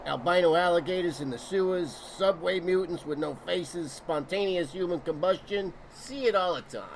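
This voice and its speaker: A man's voice: high-pitched, moderate pace, gravelly, slight background noise, pitched above the performer's own voice. A man voicing a blunt, world-weary cab driver in a radio play. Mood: jaded. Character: gruff jaded male New York cab driver.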